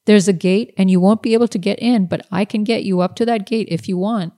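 A man's voice: high voice, fairly even intonation, a little fast, mellow, no room sound, clean studio sound, lightly acted. A woman voicing a laid-back male tour guide as she reads her story aloud, with an offhand, easygoing manner. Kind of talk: read-aloud dialogue in a literary story.

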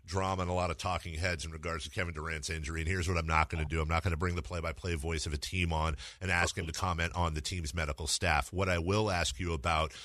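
A man's voice: sits low, fairly even intonation, quick pace, hardly any pauses, fairly bright tone, no room sound, studio quality. A man hosting a sports radio interview in a conversational way, wordy and circling back as he phrases his questions.

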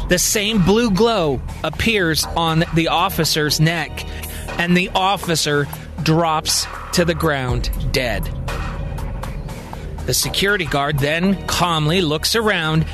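A man narrating a science-fiction story in a calm, conversational storytelling manner. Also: sits high. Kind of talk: spoken sci-fi story narration.

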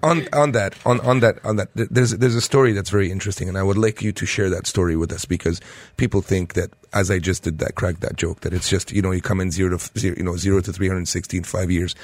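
A man co-hosts a studio interview with a fairly low voice. He often stumbles and repeats words as he sets up his questions. The sound is dry and close to the mic. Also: fairly even intonation, quick pace, few pauses, dry recording. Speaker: radio co-host. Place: radio studio.

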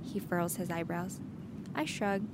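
A woman's voice: fairly low pitch, fairly even intonation, normal speed, heavy background noise. A young woman giving a dramatic first-person reading of a teen romance story. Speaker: story reader.

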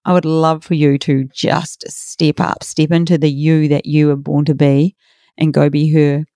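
A middle-aged woman hosts a motivational podcast, her voice raspy and hoarse. She talks in an earnest, encouraging way, her tone mellow and warm. The recording is dry and close, with no room sound. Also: sits low, little pitch movement, measured pace, dry recording. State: hoarse from a workshop. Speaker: podcast host and coach.

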